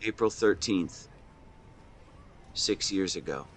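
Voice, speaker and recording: A young man playing a lovestruck twenty-four-year-old confessing to his best friend, speaking earnestly and haltingly in an intimate romantic audio roleplay. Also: sits low, animated, a little hiss or hum. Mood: nostalgic, gentle. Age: young adult. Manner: earnest, tender, halting phrasing. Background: seaside boardwalk ambience effects.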